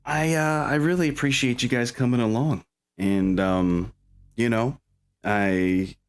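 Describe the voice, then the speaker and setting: A man's voice: mid-range voice, fairly even intonation, measured pace, smooth. A man hosting as a game master, chatting casually in an upbeat, informal way with players and viewers. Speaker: game master and stream host.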